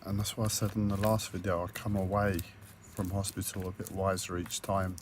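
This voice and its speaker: A man with a croaky, hoarse voice, talking hesitantly with many fillers and restarts in a personal video diary recorded on a tablet. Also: low voice, little pitch movement, brisk, a little hiss or hum. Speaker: vlogger.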